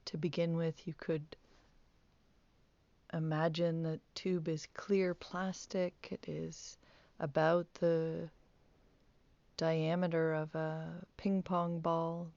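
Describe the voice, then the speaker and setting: A woman teaching guided meditation, speaking softly with calm, gentle instructions and long pauses. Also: low-pitched, creaky, no room sound, dull audio.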